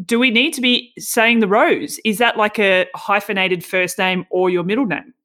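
A woman's voice: medium pitch, fairly even intonation, moderate pace, fairly bright tone. A woman who commentates races, interviewing a guest in a chatty way with long, wandering questions. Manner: chatty, rambling questions with restarts. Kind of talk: casual interview.

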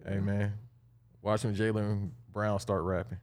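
A man's voice: fairly low pitch, flat delivery, measured pace, a little mellow. A man co-hosting a podcast, laid-back, tossing in short offhand remarks. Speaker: podcast co-host. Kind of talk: casual podcast banter.

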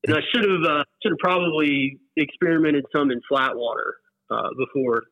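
A man's voice: fairly high pitch, moderate pace, no echo. An American man in his forties talks casually as an interview guest, reminiscing at an easy conversational level. His voice comes through a narrow, phone-like connection with very little room sound. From West Virginia, US. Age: 40s. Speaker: interview guest, whitewater paddler. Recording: muffled phone-like line, dry.

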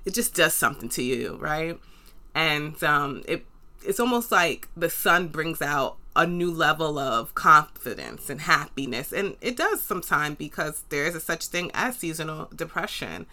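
A woman's voice: low voice, lively intonation, moderate pace, pushed and bright. A woman with a New York accent hosting a podcast, talking earnestly and encouragingly and repeating words for emphasis. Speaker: podcast host. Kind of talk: motivational podcast monologue.

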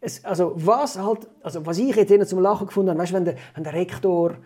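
A man with a Swiss German accent talks in a slightly high register with a very mellow timbre. He chats informally and rambles as he retells and reviews, in the manner of a co-host. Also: fast, breathy edge. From Switzerland. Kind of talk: casual chat, film review.

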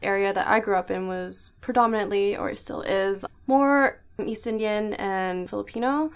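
A woman's voice: medium pitch, normal speed, clean tone. A young Canadian woman co-hosting a podcast, telling reflective stories in a chatty, casual way. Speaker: podcast co-host. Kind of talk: casual chat.

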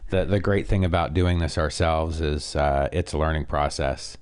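A man from Canada co-hosting a podcast, talking in a relaxed, rambling way with many fillers and a slightly nasal edge. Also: very deep voice, normal speed, smooth. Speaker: podcast co-host. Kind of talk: casual chat.

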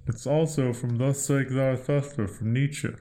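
Man as a deadpan comic sidekick. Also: mid-range voice, moderate pace, lightly acted. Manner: deadpan, matter-of-fact. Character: deadpan, dry sidekick and parody pitchman. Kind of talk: comedic radio play acting.

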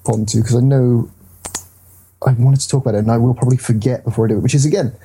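A man's voice: medium pitch, steady tone, fast, dark tone, a little hiss or hum. A man hosting a casual, rambling and enthusiastic discussion about games. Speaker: podcast host.

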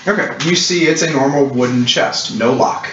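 A man acting as game master, describing scenes in a halting, explanatory way for a tabletop role-playing game. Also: medium pitch. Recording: roomy, muffled distant mic with clicks, hiss.

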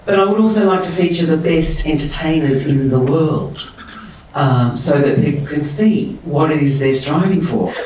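A man speaking as an interview guest, impassioned and emphatic, his pitch jumping up when he gets animated. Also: moderate pace. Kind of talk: conversational interview. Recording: roomy and distant with faint noise.

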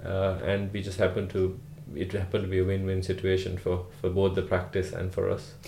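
A man from Sri Lanka, a rural GP, answering podcast interview questions in an unhurried way with many "uh" fillers. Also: deep voice, completely flat delivery, gravelly, mellow, echoey room, slight background noise. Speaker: guest, rural GP.

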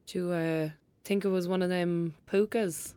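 A woman's voice: lowish voice, fairly even intonation, normal speed, warm tone, clean tone, dry recording, natural voice. Woman acting cheeky young irish girl, bossy best mate, coaxing and slagging, audio drama, very dry sound. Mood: musing, reassuring. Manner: cheeky, coaxing, colloquial banter.

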